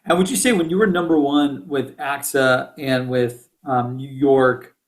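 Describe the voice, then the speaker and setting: A man's voice: medium pitch, fairly even intonation, measured pace. A man hosting a podcast interview, enthusiastic and informal, with slangy affirmations. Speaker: podcast host.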